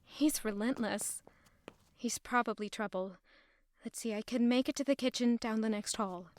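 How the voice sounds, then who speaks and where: A woman's voice: a little high, normal speed, a little mellow, natural voice. A woman playing an anxious, self-doubting young noblewoman in an audio drama, with a dramatic inward delivery. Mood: wary. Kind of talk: audio drama narration and acting.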